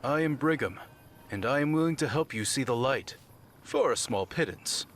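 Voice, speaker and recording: Sanctimonious wasteland preacher-trader, faintly noisy. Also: male voice, medium pitch, animated, normal speed, fairly bright tone. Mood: pious, solemn. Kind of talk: video game dialogue acting.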